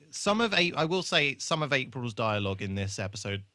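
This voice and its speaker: Male podcast co-host, bright tone, dry close sound, casual and halting reviewer. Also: medium pitch, sing-song, no room sound. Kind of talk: casual episode review chat.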